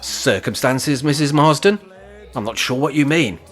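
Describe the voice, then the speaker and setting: A man's voice: medium pitch, moderate pace, soft background music, lightly acted. A man playing a wry, scheming older care home resident with an English accent and jokey, mock-grand phrasing in an amateur radio play. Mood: feigned innocence.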